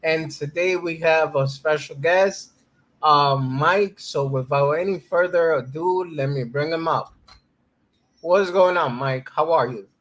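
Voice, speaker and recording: A man's voice: fairly high pitch, a little slow, a bit bright, poor audio. A man hosting a casual livestream interview, laid-back and chatty, greeting his viewers. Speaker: host.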